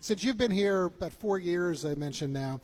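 A man's voice: high voice, lively intonation, normal speed, darkish tone. A man hosting a travel radio show in an unscripted, conversational style.

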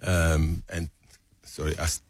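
A man's voice: extremely low pitch, little pitch movement, a little slow, no echo. An older man in his seventies with a Greek accent, a restaurant owner telling his story as a guest on a radio show. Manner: candid storyteller with hesitations. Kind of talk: radio interview.

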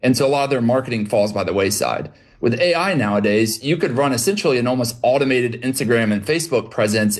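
A man as an interview guest, explaining his business in a fluent, conversational way with concrete examples. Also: mid-range voice. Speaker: guest, small business acquirer.

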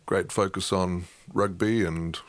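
A low-pitched middle-aged man chats easily as an interview guest. He is wry and self-deprecating and laughs often. The sound is close and dry, with no room around it. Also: steady tone, unhurried, no echo. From England. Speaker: guest, opera music head. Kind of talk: relaxed interview conversation.

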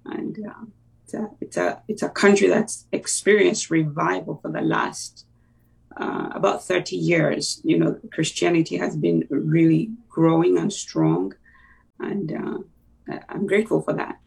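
A middle-aged woman with a Ugandan accent and a very creaky, husky voice, heard a little way from the microphone. She talks conversationally as an interview guest, hesitating and pausing partway through her sentences. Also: lowish voice, fairly even intonation, a little mellow, recorded from a distance, rough recording. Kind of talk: conversational personal recollection.

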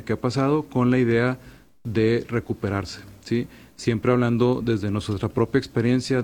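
A man moderating a discussion panel and sharing his own experience, measured and hesitant, with many fillers. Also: lowish voice, darkish tone, slight background noise.

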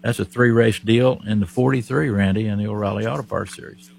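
Man with an Oklahoma accent, racing show host, low gravelly voice, a touch husky, folksy delivery. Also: a little fast. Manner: folksy, relaxed delivery with frequent fillers. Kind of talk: casual motorsport chat.

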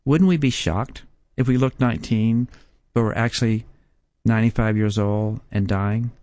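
A man in his fifties giving a sermon as a Bible teacher, speaking slowly and emphatically with repeated rhetorical questions. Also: lowish voice, steady tone, darkish tone, dry recording. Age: 50s.

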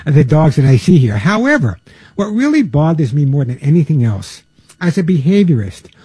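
Older male radio host, mellow dark tone, slightly husky, dry close sound, chatty. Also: on the high side, no echo, poor audio. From New York. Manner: chatty, repeats words, earnest pleading. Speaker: radio pet show host. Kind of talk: casual talk radio monologue.

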